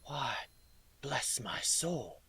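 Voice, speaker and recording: Casting: male actor as an old miserly businessman, uneasy and wistful, very animated pitch, audio-play acting. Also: extremely slow, gravelly, husky, full character voice. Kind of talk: radio drama acting.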